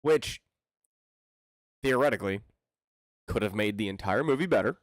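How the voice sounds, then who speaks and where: A man's voice: fairly low pitch, expressive, normal speed, no echo. A man co-hosting a casual podcast, blunt and wisecracking, swearing freely. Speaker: podcast co-host.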